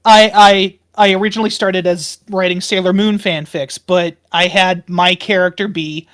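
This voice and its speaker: A woman playing a tabletop game, talking casually and haltingly, repeating phrases for emphasis. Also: on the low side, fairly even intonation.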